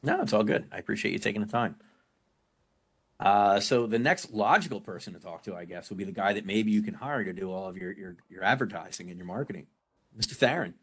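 A man's voice: lowish voice, lively intonation, a little fast, fairly bright tone, slightly rough. A friendly, joking man hosting a casual group business call and asking questions.

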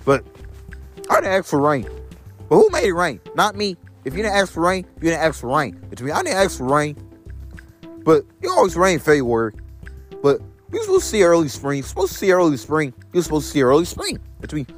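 A young man with a deep voice vlogging to his phone camera while walking outdoors in the rain. He repeats his phrases over and over and keeps calling his viewers "guys". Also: huge pitch swings, fairly quick, faint music behind. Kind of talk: rambling phone vlog on a walk.

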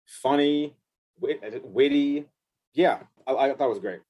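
A man's voice: medium pitch, unhurried, a little mellow, no room sound. A man co-hosting a podcast, sharing enthusiastic movie opinions and stumbling over words. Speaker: podcast co-host. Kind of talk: casual movie chat.

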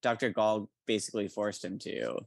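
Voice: sits low, very animated, moderate pace, vocal fry, no room sound, clean studio sound. A podcast co-host chatting casually about a book, speaking in an emphatic, argumentative way.

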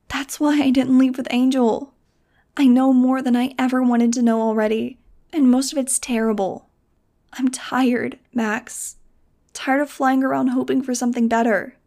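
A woman's voice: warm tone, close to an everyday voice. A woman voicing a sensitive, weary young winged mutant girl who talks in a pleading, emotional way. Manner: pleading, emotional, higher-pitched.

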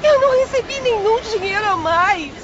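An actress playing a gentle young fish seller, a daughter crying and pleading in melodramatic radio drama. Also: female voice, very high-pitched, animated, normal speed, background noise. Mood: tearful protest. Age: young adult. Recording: dull radio drama with crowd behind.

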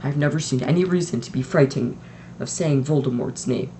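A reader voicing a gentle, calm old male wizard with a mildly amused manner. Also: mid-range voice, fairly quick, a little hiss or hum, lightly acted. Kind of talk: audiobook reading.

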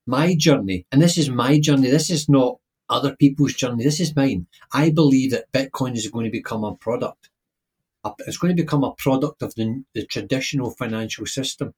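Husky male podcast co-host, emphatic and opinionated, casual chat. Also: medium pitch, a little fast, darkish tone. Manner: opinionated, emphatic, repeats words when starting.

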